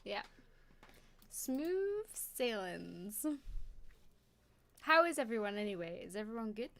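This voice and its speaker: A woman co-hosting a live stream with a hoarse voice, chatting casually and greeting viewers. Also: medium pitch, big pitch swings, slow, a bit bright. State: hoarse, losing her voice.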